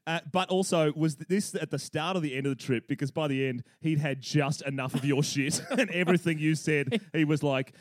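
Young man, comedy co-host, sarcastic banter, fairly high voice, dry sound. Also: dry recording. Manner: sarcastic, quick quips. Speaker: podcast co-host.

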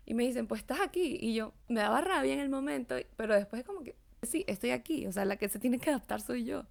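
A woman from Venezuela, talking in a lively, expressive way in a casual conversation. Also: a little high, fast, vocal fry. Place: living in Mexico.

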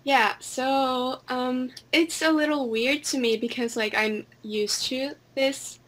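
Casting: female interview guest, Finnish accent, higher-pitched voice, unhurried pace, casual and a little hesitant with a giggly streak. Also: steady tone, fairly bright tone. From Finland. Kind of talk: casual conversational answers.

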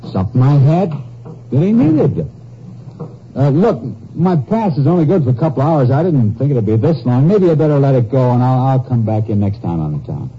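A dull old radio recording with light noise carries a young-army-man role played by a male actor. He is nervous and evasive, stumbling over his words in a mid-pitched voice. He sounds defensive, like a cornered soldier in a 1950s crime serial. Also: animated, moderate pace, lightly acted. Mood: uneasy, evasive. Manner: hesitant, stammering, defensive. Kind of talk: 1950s radio drama acting.

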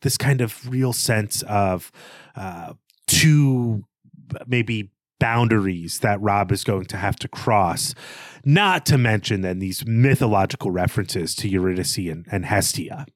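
A man co-hosting a casual, analytical film discussion, working out his thoughts aloud in a halting way. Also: fairly low pitch, no room sound. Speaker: podcast co-host.

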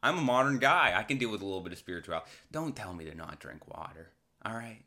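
Forceful, low-voiced American man riffing casually. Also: expressive, fast talker. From Massachusetts, United States. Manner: rambling, irreverent, crude, sardonic. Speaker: solo podcast host. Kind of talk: casual comedic monologue.

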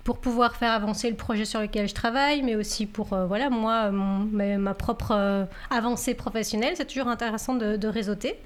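A woman's voice: a little high, fairly even intonation, brisk, few pauses. A young adult woman from Belgium, a guest speaking casually and hesitantly, with many fillers and restarts. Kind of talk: casual conversation.

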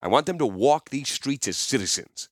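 A man's voice: mid-range voice, animated, moderate pace, no echo, studio quality, voice pushed up, close to an everyday voice. A man voicing a swaggering, defiant warrior hero who speaks in a commanding way in a fantasy audiobook. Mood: firm bargaining. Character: boastful, defiant legendary male warrior hero.

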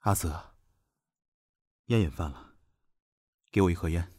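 An actor playing a young male general around thirty in a modern audio drama, familiar and musing, with a breathy voice. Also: low voice, unhurried, mellow, no room sound. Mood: familiar, casual.

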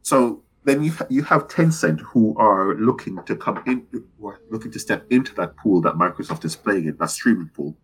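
A man's voice: on the low side, animated, moderate pace, hardly any pauses, breathy edge, low-quality recording. A man co-hosting a casual gaming podcast, rambling and analytical as he works through his points with many restarts. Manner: rambling, self-correcting, analytical. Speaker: podcast co-host.

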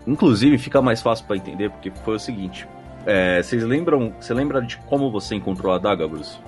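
Man, Brazilian accent, lowish voice, very quick, colloquial explainer on a podcast, music underneath. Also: expressive, a little mellow. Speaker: podcast co-host and game master. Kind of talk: casual chat answering listener feedback.

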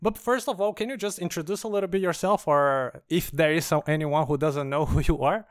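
Man, smooth voice, slightly high, friendly podcast host. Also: brisk, no room sound, studio quality. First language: Portuguese. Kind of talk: hosting an interview.

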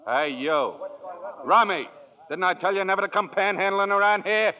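An actor playing a mean, bullying bartender with gruff street-tough talk, barking in 1940s radio drama style. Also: male voice, high-pitched, expressive, moderate pace. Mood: scornful, barking. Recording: tinny narrow-band old radio recording.

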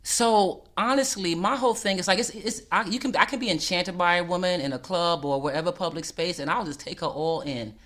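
A woman's voice: fairly low pitch, brisk, few pauses, fairly bright tone. A woman co-hosting a casual advice chat, candid and laughing often, swearing freely and stretching out words for emphasis.

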